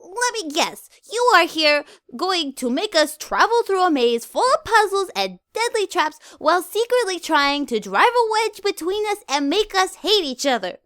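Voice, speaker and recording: A woman's voice: moderate pace, few pauses, dry recording, clean studio sound, exaggerated voice. A woman voicing a cheerful, scatterbrained young female pony companion in a storybook reading. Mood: exasperated. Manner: high excitable voice, swooping pitch.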